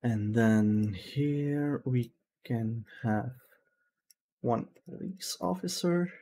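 A man's voice: medium pitch, very slow, mellow. Man, 30s, Dutch native speaker, casual game commentary, headset mic, laughs at himself. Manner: chatty, laughs at own mistakes. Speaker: gaming streamer. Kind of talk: casual let's-play commentary. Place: small room at home.

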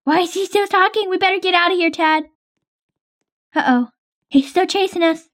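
High-voiced woman in game roleplay. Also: moderate pace, a bit bright. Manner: hesitant, chatty, with fillers. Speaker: roleplay player.

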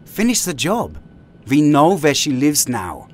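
An actor playing a gentle, apologetic male mutant devoted to family, speaking in slightly formal phrasing. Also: a little high, big pitch swings, a little slow. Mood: earnest.

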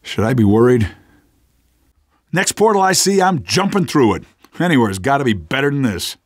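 An actor playing a cocky, stubborn rebel fighter in a video game, casual, streetwise and gravelly. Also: male voice, mid-range voice, sing-song, moderate pace, no room sound, clean studio sound. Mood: wry, uneasy.

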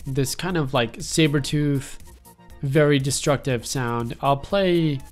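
A young man with a congested, stuffy voice talks through a synth patch in a loose, easygoing way, filling gaps with little fillers as he goes. His pitch sits right in the middle for a man, and quiet synth music plays faintly underneath. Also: slow. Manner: relaxed, rambling explanation with frequent fillers. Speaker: music production tutorial host. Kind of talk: casual screen-recorded software tutorial.

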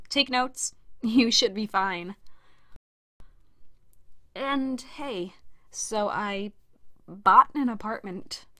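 A woman's voice: a little high, bright, forceful tone, full character voice. A young woman playing an awkward, blunt, teasing college student in an audio drama. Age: young adult. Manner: flustered, blunt.

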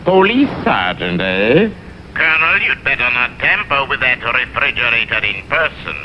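A man's voice: mid-range voice, sing-song, moderate pace, natural voice. A man voicing a smug, wordy animal advisor in a vintage TV cartoon, with a pompous, florid manner. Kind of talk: 1960s TV cartoon voice acting. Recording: dull vintage TV audio, faint noise.

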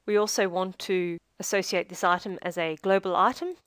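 A woman with a slightly low voice narrates a software demonstration in a measured, instructional way. Her phrases tend to trail down at the ends. The recording is dry and close. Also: steady tone, clear, resonant tone, dry recording. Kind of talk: scripted tutorial narration.

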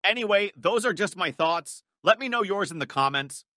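Sharp, bright-voiced scornful man commentator. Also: high-pitched, expressive, moderate pace, no echo, clean studio sound. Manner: scornful, sarcastic. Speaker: video commentator. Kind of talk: scripted opinion commentary. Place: close-miked voice-over in a dry room.

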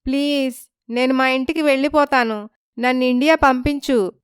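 Woman voicing a high, wronged young village wife. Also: steady tone, normal speed, smooth, no room sound, clean studio sound, lightly acted. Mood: pleading. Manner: pleading, indignant questioning. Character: naive village wife, wronged, tearful and indignant. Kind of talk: dramatized moral story dialogue.

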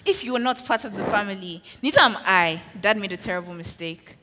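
A woman voicing a calm, protective sister who soothes her panicking brother. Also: medium pitch, a little fast, a little hiss or hum. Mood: soothing. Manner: soothing, steady. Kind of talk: hobby voice drama.

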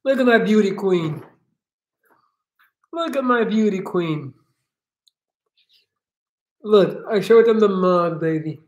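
A man's voice: sits high, takes it slowly, long pauses. A man in his thirties from Belgium, chatting casually on a home livestream and reading out viewer comments, recorded on a laptop mic in a living room. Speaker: livestream host, coach. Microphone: laptop webcam mic.

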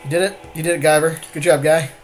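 A man's voice: fairly high pitch, fairly even intonation, fast, a little hiss or hum. A man co-hosting a gameplay podcast, chatting casually and jokingly in a wry way. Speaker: podcast co-host watching an online card game.

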